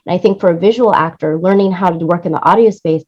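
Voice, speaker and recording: A woman's voice: fairly low pitch, fast talker, dull audio. A woman being interviewed about her audio production work, explaining casually and animatedly, often checking for agreement. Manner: animated explaining, acts out quoted lines, seeks agreement with "right?". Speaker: interview guest, music supervisor and audio producer.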